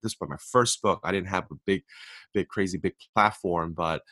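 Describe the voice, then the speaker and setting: A man's voice: deep voice, normal speed, fairly bright tone, no room sound. A young man whose first language is Korean, an author guest speaking earnestly on a faith podcast and restarting his words as he goes. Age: young adult. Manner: earnest, repeats words and restarts when emphatic. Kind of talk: faith-based podcast interview.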